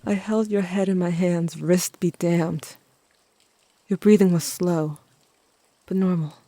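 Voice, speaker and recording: A performer playing a young teenage narrator telling a haunted story in a measured, scripted audio-drama style. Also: medium pitch, steady tone, dark tone. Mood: solemn, haunted. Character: young Cain, grieving, watchful older teenage narrator.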